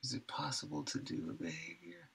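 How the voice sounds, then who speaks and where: A man in his thirties, a game developer thinking aloud in a halting, musing way at his home desk while streaming his work. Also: fairly high pitch, vocal fry, a bit bright, slightly rough, low-quality recording. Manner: musing, halting, talks to himself.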